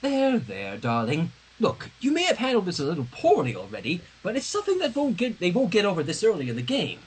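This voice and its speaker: A man's voice: high voice, huge pitch swings, fairly quick, husky, exaggerated voice, rough recording. A man voicing a refined teenage girl in a story, soothing yet frank and matter-of-fact. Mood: consoling, frank.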